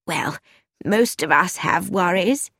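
A woman voicing a shrewd elderly spinster who asks mild, gently probing questions in an audiobook. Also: fairly low pitch, animated, slow pace, no room sound, studio quality, full character voice. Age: older.

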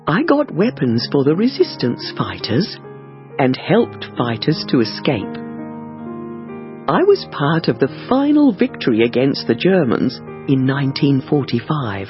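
A man reading a first-person life story slowly and carefully for language learners, on a dull lo-fi recording. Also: medium pitch, huge pitch swings, music in the background. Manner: slow, careful, clearly paced reading. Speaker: audiobook reader.

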